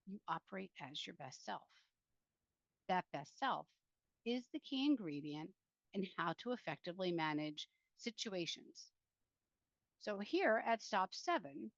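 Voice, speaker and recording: A woman reads her own self-help writing aloud with an earnest, deliberate delivery that addresses the listener directly. Her pitch sits in an average range for a woman. The recording is dry and a little muffled. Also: vocal fry, no room sound. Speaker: author reading from own book. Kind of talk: self-help book reading with spoken introduction.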